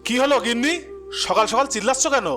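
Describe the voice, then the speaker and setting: A man's voice: very high voice, fast. A man playing a harsh middle-aged village father in a cartoon, berating and dismissive. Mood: irritated. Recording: music under the voice.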